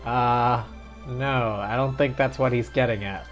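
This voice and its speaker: A man's voice: mid-range voice, steady tone, unhurried, muffled recording. A man streaming a video game live, chatting casually and reacting aloud with laughter. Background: faint game music.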